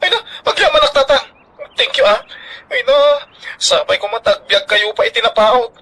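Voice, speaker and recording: An actor with an Ilocano accent playing a devoted, affectionate grown son, emotional and solicitous in a radio drama. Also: male voice, very high voice, animated, normal speed, pushed and bright, heavily acted. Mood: grateful, fussing. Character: devoted, affectionate grown son fussing over aging parents.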